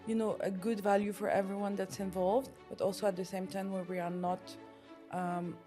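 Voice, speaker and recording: A woman's voice: medium pitch, flat delivery, moderate pace, warm tone, soft background music. Founder woman, thoughtful with many fillers. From France. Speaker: startup founder. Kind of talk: casual interview answers.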